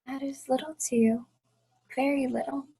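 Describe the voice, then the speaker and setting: A woman's voice: sits high, steady tone, moderate pace, gravelly, dark tone, breathy edge, full character voice. A young woman playing a heartbroken but resolute, dignified fiancée in a radio play, speaking earnest, formal period English. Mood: sad, resigned. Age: young adult.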